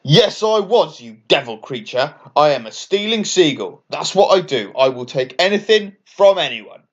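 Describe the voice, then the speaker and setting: A man's voice: on the high side, sing-song, normal speed, highs cut off. A man voicing a nasty, defiant thieving seagull in a children's bedtime story, brazen and scornful.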